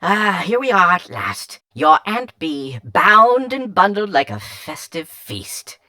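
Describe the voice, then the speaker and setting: A woman's voice: fairly low pitch, sing-song, slow, sharp tone, no echo, clean studio sound, exaggerated voice. A woman voicing a flighty, eccentric hovering robot in an audiobook, with a sing-song, theatrical delivery. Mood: wicked delight. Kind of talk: audiobook character acting.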